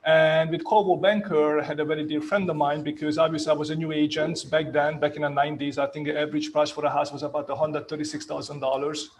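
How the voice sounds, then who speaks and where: A man's voice: a little high, flat delivery, fast, hardly any pauses, a little hiss or hum. A man in his fifties with a Hungarian accent, telling his career story casually on a panel and often adding "right?". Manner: chatty, self-mocking, leans on "right?" tags. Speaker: real estate agent on a panel.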